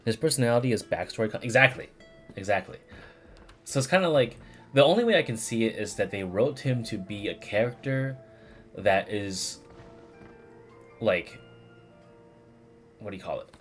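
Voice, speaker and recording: A man livestreaming a game, chatting casually in a rambling, halting way as he searches for words. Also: fairly low pitch, animated, a bit bright, soft background music. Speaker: game streamer. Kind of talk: casual livestream commentary, replying to chat.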